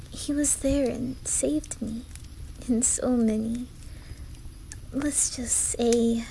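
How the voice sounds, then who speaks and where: A woman voicing a shy, traumatized young boy with a Swedish accent in a soft, whispery, hesitant ASMR roleplay. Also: on the high side, slight background noise, low-quality recording. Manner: hesitant, halting, gentle.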